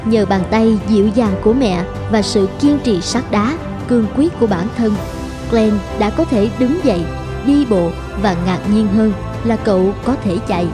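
A woman's voice: medium pitch, moderate pace, music in the background. A woman reading scripted story narration as a narrator.

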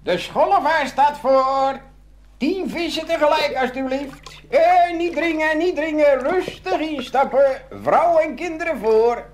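An actor playing a cheeky, chatty, teasing duck in a fairy-tale radio play. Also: male voice, very high-pitched, a little slow. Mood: bustling. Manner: teasing, chuckling.

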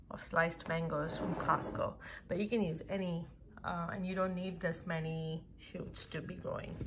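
A woman at home at a wooden table, casually demonstrating a gardening task at an unhurried pace with many fillers and self-corrections. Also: fairly low pitch, noisy background.

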